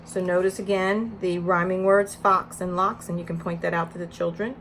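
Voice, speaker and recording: A woman's voice: fairly low pitch, flat delivery, normal speed, a bit bright. Woman teaching through a read-aloud, noisy recording. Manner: instructional, emphatic when reading aloud. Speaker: early childhood educator.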